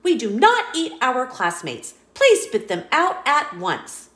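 A woman's voice: high-pitched, huge pitch swings, slow, a bit bright, pitched above the performer's own voice, heavily acted. A woman voicing a strict schoolteacher in a storybook, speaking firmly and scolding. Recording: roomy echo.

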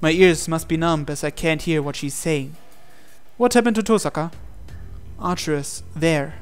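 A man's voice: a little high, normal speed, clear, resonant tone, soft background music. A man reading a visual novel aloud as a game player, in dramatic storytelling narration.

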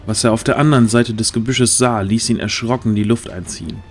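A man's voice: medium pitch, steady tone, a little fast, soft background music. A man narrating a scripted fantasy audio drama, reading the story as a narrator.

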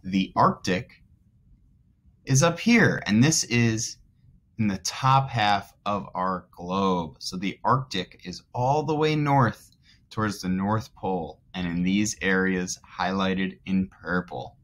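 A man in his twenties, a teacher reading aloud to young children and explaining as he goes, deliberately stressing key words. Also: low-pitched, fairly bright tone. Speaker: first grade teacher.

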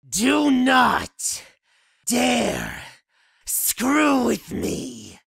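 A man's voice: very high-pitched, wildly swooping pitch, vocal fry, slightly rough, no echo, studio quality, full character voice. A man voicing a furious, menacing devil, seething and drawing out his words. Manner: seething, drawn-out shouting.

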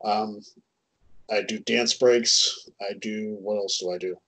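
A man's voice: lowish voice, fairly even intonation, slow pace, a bit bright, low-quality recording. A man in his thirties co-hosting a casual chat on a headset, rambling and self-deprecating with many ums. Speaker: co-host. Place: home room, indoors.

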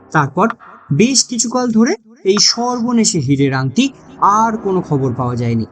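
A narrator reading a scripted horror mystery story in a dramatic storytelling manner. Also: mid-range voice.

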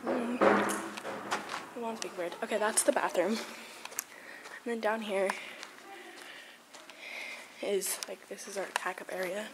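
A woman's voice: medium pitch, fairly even intonation, measured pace, long pauses. A woman chatting casually as she films a handheld vlog, rambling and trailing off as she gives a tour. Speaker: vlogger giving a barn tour. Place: horse barn and its grounds. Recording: noisy handheld camera recording.

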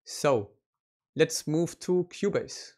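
A man's voice: a little high, slow pace. A man in his thirties with a German accent, a composer chatting casually on a live stream from his home studio into a studio microphone. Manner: relaxed, chatty, plain phrasing with slips. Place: small home studio room. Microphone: studio mic on a stand, headphones on.

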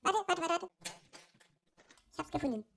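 A man voicing a cheeky, playful plush puppy in a squeaky, gravelly put-on voice, teasing and excitable. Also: moderate pace, slight background noise, exaggerated voice. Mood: excited. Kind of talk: toy puppet play during an unboxing video.

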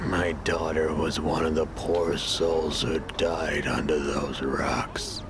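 An actor playing a menacing, grieving middle-aged drifter in a video game, speaking quietly and ominously in a gravelly voice. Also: male voice, background noise. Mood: brooding grief. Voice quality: gravelly, low. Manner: slow, quiet, ominous, half-whispering.

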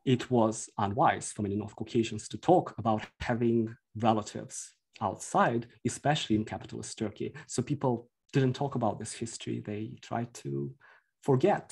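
A man lectures on a video call and explains carefully, stopping now and then on "uh" and "right". His voice is gravelly and a little husky, in the middle pitch range for a man. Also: a little mellow. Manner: measured, explanatory, with frequent fillers. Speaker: guest lecturer. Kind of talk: academic talk, answering questions.

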